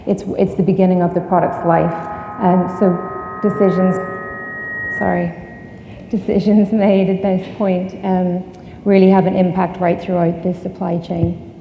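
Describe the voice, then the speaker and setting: A woman with an Irish accent presents at a conference, filling pauses with frequent ums as she explains. Her voice is low and very mellow. She sounds far off in a large echoing hall with a faint hiss behind her. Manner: many ums, steady explaining. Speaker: conference speaker. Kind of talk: conference talk. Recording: echoey hall, distant, faint noise.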